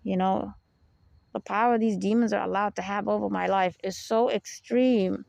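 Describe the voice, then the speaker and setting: Gravelly, deep-voiced young woman podcaster. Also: fairly even intonation, moderate pace, no room sound. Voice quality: low, deep voice. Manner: rambling, confessional, frequent "you know" and soft laughs. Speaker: podcast host. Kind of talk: personal monologue podcast.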